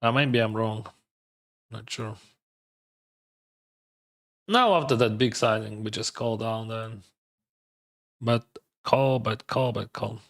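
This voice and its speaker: Slow, hesitant Russian-native man. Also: lowish voice. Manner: hesitant, second-guessing, filler-heavy non-native English. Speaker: poker player streaming his games. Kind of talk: thinking aloud while playing online poker.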